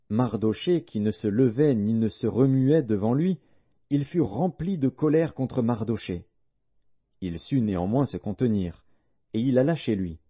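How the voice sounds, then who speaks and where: A man's voice: mid-range voice, husky. A man narrating a Bible audiobook in a solemn, scripted reading style. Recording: dull, lo-fi audio.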